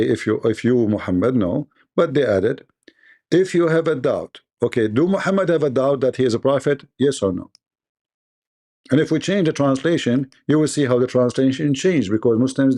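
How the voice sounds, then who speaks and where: Combative host with a mellow, dark voice. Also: male voice, medium pitch. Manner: combative, repetitive and quizzing. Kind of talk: live-stream debate.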